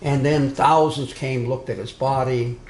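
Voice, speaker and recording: A man giving an informal history lecture to a small live audience, speaking loudly and wandering into asides and restarts. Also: mid-range voice, fairly even intonation, a little slow, echoey room, a little hiss or hum. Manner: loud, digressive, with many restarts and asides. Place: meeting room with a live audience.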